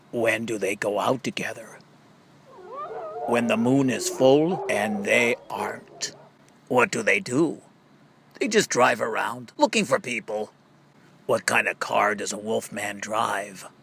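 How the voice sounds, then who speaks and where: A man's voice: on the low side, gravelly, fairly bright tone, husky. A man reading scripted question-and-answer jokes and riddles as an audiobook narrator, playfully setting up each question and drawing out the punchlines. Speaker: audiobook reader.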